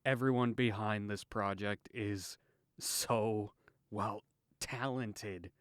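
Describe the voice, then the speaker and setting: Slow, clean-voiced man talking casually. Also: fairly low pitch, fairly even intonation, fairly bright tone, dry recording, studio quality. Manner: informal, appreciative. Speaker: voice actor. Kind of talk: casual thank-you message to viewers.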